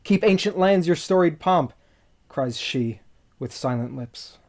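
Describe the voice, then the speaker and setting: A man's voice: a little high, animated, a little slow, a little mellow. A man reciting a classic poem in a documentary. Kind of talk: poetry recitation.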